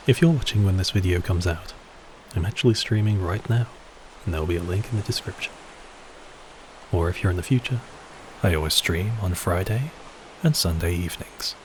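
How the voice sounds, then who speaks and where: A man speaks in a low, deep voice as the host of an audio roleplay channel. He delivers an outro thanking listeners, with noticeable watery ambience running behind him. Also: a little mellow, slight background noise, poor audio.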